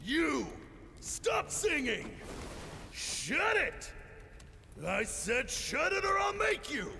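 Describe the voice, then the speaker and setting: A cruel raiding soldier in a video game, menacing and taunting in a gravelly voice. His delivery is very slow and swings widely in pitch, echoing through a hall. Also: very animated, sharp tone, a little hiss or hum, heavily acted. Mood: furious, threatening. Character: cruel sneering male soldier raiding a home. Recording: echoing hall, music underneath.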